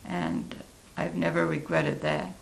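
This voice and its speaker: An elderly American woman looks back on her life in an interview. Her voice is very gravelly and full of creak. She speaks slowly and deliberately, pausing as she thinks. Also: sits low, very animated, slight background noise, low-quality recording. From Oregon, United States. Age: 80s. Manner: halting, deliberate, thoughtful pauses. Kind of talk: reflective oral history interview.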